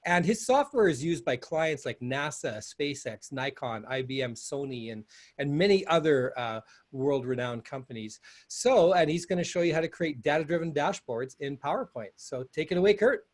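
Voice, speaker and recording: A man's voice: on the high side, animated, normal speed. Hesitant man introducing a speaker. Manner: hesitant, with fillers and restarts. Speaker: conference host.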